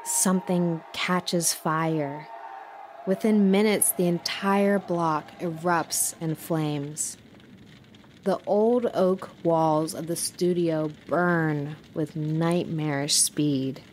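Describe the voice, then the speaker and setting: A woman playing a solemn documentary podcast host, delivering scripted narration in a measured way. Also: low voice, steady tone, a little mellow, a little hiss or hum. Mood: grave. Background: music.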